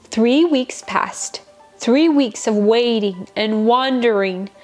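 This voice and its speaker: A woman reading a children's bedtime story in an expressive, dramatic way with deliberate, emphatic pauses. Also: on the high side. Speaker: storyteller, show host. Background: music.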